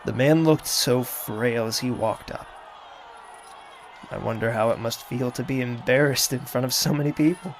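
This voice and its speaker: A man playing a cold, vengeful lord in an audio drama, narrating in a measured, solemn manner. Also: mid-range voice, steady tone, a little hiss or hum, natural voice. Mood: grave. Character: cold, vengeful lord commanding mercenaries, grieving his sister.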